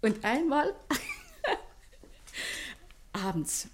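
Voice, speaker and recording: An actress playing a homely, chatty wife who talks in an intimate, conversational way in a German radio play. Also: female voice, fairly high pitch, sing-song, very slow, full character voice. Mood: wistful, amused. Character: commissioner's wife, homely, chatty, gently reminiscing.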